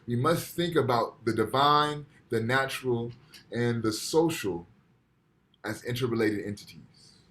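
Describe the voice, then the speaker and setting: A man's voice: mid-range voice, a bit bright. A man with an ordinary mid-range voice teaches a university class over a video call. He explains patiently, point by point, and keeps checking in with his students by asking "right?". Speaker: university lecturer. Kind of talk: online lecture. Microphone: computer mic on video call.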